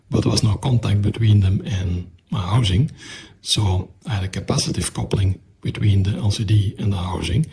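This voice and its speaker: A man presenting a technical explanation of an electronics project in a halting, methodical, step-by-step way. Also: on the low side, darkish tone, a little hiss or hum.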